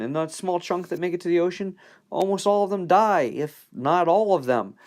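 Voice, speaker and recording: A man with a medium-pitched voice narrates a lesson for his students. He sounds informal and conversational, explaining things in a folksy way and dropping in playful asides as he goes. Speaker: biology teacher.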